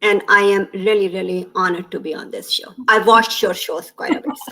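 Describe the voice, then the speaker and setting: A woman in her fifties from Pakistan with a Pakistani accent, a town comptroller talking earnestly as an interview guest, pausing often with "um". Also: mid-range voice, fairly even intonation, sharp tone. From Pakistan (Lahore, Punjab). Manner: earnest, many "um" pauses, emphatic lists. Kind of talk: candid interview talk.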